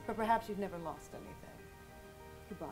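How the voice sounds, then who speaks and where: A woman's voice: medium pitch, fast, lightly acted. A woman at a stage play table read playing a blunt, exasperated, challenging woman. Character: blunt, exasperated woman arguing about deadly disease. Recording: music behind the voice.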